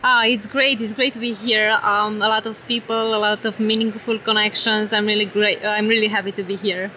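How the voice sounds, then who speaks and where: A woman's voice: medium pitch, flat delivery, a little fast, sharp tone, slight background noise, low-quality recording. A woman from Romania with a Romanian accent, speaking English as a second language in an earnest conference floor interview as a company executive. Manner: earnest, repeats phrases, non-native phrasing. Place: busy trade show floor.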